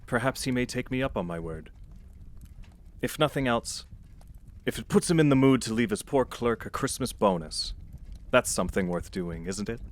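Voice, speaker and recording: A merry, warm-hearted nephew , played by a man in an audio drama. His voice sits in a middle register, and he talks with jovial, earnest good humor. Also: mid-range voice, expressive, moderate pace, pauses often, natural voice.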